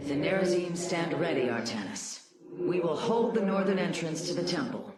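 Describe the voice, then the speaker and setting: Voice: medium pitch, lively intonation, moderate pace, roomy sound, heavy background noise, close to an everyday voice. An actor playing a grave, loyal alien warrior commander in a video game, formal and composed. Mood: steadfast. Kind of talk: dramatic video game voice acting.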